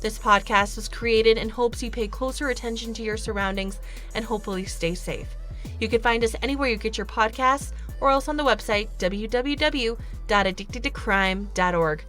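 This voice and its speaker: A woman's voice: a little high, normal speed, a bit bright, faint music behind. A woman podcast host reading a scripted promo straight to her listeners. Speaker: true crime podcast host.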